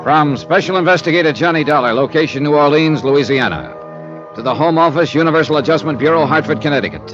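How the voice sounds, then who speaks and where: An actor playing a confident insurance investigator, breezy, wry and matter-of-fact, in 1950s radio drama style. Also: male voice, fairly high pitch, lively intonation, fast, lightly acted. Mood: brisk, punchy. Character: brisk, confident male freelance insurance investigator. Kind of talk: 1950s radio drama acting and first-person narration. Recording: muffled old radio recording with music.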